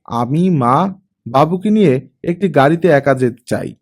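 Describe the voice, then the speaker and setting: A man's voice: on the high side, animated, a little slow. A man voicing a stubborn, curt young mother in an audiobook, speaking insistently.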